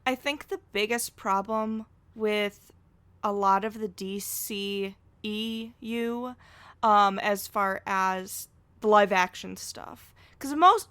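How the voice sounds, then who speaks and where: A woman's voice: medium pitch, sharp tone, no room sound. A woman guest on a podcast, giving her opinions in a frank, informal, rambling way. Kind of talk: casual opinionated podcast chat.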